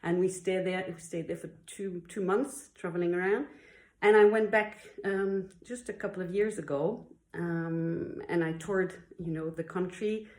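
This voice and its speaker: Female, middle-aged, non-native English speaker, encouraging casual storyteller, slightly low register, echoey room sound. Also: fairly even intonation, unhurried, darkish tone. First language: not English. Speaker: English teacher and video presenter. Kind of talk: casual personal storytelling vlog. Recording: roomy, echoey indoor sound.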